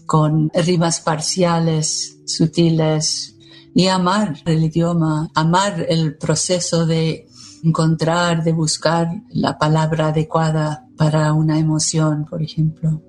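An American woman speaks Spanish slowly in a low, level voice, her English accent clear beneath each word. She answers in a measured, thoughtful way, pausing between short phrases, with faint music underneath. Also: completely flat delivery, darkish tone. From United States. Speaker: poet, interviewee. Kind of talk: reflective interview answers.

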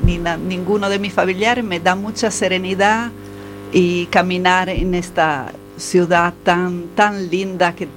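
Italian-accented woman, halting and low. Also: steady tone, slight background noise. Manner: halting, searching for words. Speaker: panel guest. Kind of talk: personal reminiscence.

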